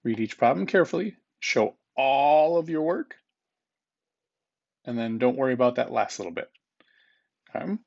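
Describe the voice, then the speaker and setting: A man's voice: medium pitch, expressive, moderate pace, vocal fry, long pauses, highs cut off. A man speaking as a teacher, reading test questions aloud to students in an informal, instructive manner and repeating them.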